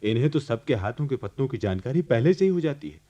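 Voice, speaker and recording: Radio play husband acted by a man: jovial, exclamatory, very animated, rather low voice, dull old recording. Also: fast talker, lightly acted. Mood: excited, teasing. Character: enthusiastic middle-class husband, devoted son and father. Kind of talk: Hindi radio play acting.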